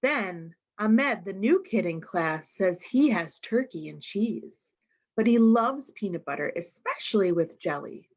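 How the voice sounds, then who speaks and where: A woman reading a picture book aloud to young children in an expressive way, pausing to explain the pictures. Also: medium pitch, highs cut off. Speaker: storytime reader.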